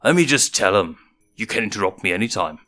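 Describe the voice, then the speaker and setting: A man's voice: on the low side, expressive, fast talker, bright, forceful tone. A man voicing a cocky, teasing young male lion cub with a casual big-brother manner. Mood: joking. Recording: music behind the voice.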